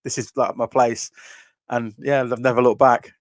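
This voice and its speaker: A man's voice: mid-range voice, flat delivery, moderate pace, no echo. A man in his thirties, a podcast host, telling his story in casual chat, laughing easily. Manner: laughs easily, restarts, acts out quotes.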